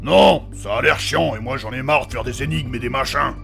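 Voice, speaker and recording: A man playing a crude, grumpy fighter with a gravelly voice and a blunt, deadpan manner in a comedic fantasy audio drama. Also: mid-range voice, fairly quick, music in the background. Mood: grumpy, bored. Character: crude, grumpy male fighter, bored by riddles.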